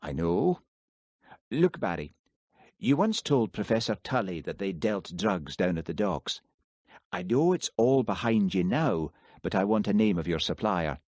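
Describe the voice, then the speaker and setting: A man reading aloud as a patient, persistent police constable who stays calm. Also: low-pitched, a little slow, no echo, studio quality.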